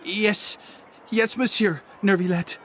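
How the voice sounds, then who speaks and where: Stammering, nervous underling. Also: male voice, sits high, steady tone, slow, a bit bright, a little hiss or hum. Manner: stammering, hesitant. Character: nervous young male underling. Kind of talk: video game voice acting.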